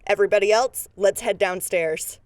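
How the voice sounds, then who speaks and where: A woman's voice: mid-range voice, normal speed, lightly acted. A woman playing a businesslike, take-charge deputy investigator in a radio drama, plain-spoken and matter-of-fact. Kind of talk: scripted radio drama acting.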